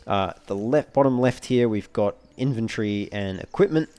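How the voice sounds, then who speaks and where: A man giving casual let's play commentary as a walkthrough host, explaining things in a measured, wordy, slightly formal way. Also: fairly low pitch, mellow, clear, resonant tone.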